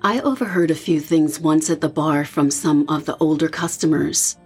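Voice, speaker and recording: Actress as husky young woman, hesitant and reflective. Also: low-pitched, moderate pace, darkish tone, soft background music. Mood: curious. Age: young adult. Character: young woman partygoer, uneasy and open about eerie feelings. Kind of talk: audio horror drama acting.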